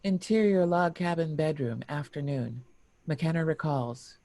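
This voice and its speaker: Mellow, dry-sounding screenplay narrator, a woman. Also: low-pitched, measured pace, dry recording. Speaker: stage directions narrator.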